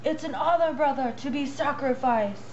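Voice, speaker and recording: A woman's voice: high-pitched, moderate pace, muffled recording, background noise, natural voice. A woman voicing an earnest, dramatic vegetable character in an amateur puppet show. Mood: solemn. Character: zealous vegetable farmer and pleading young carrot.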